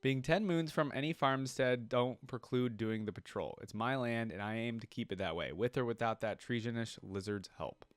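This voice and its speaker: A man's voice: mid-range voice, moderate pace, few pauses, smooth, dry recording, studio quality, natural voice. Man reading as cantankerous, boastful little frontier warrior. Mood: grumbling. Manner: folksy, grumbling, grandiose. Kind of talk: first-person story reading.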